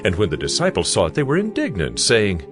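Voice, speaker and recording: A man narrating scripture from a script in a measured, solemn way. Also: on the low side, huge pitch swings. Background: music.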